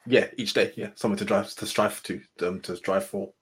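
A man with a low, husky voice speaks in a fairly even, unvaried tone. He makes punchy points built on familiar sayings and closes them firmly. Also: brisk. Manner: punchy, quotes sayings, ends points with "full stop". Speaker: panel guest. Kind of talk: casual discussion.

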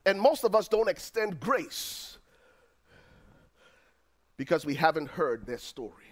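A middle-aged man with a Liberian accent, preaching a sermon as pastor, swinging from near-shouts down to low, quiet lines. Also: very animated, takes it slowly. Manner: preaching with dramatic swings, rising to shouts then dropping low.

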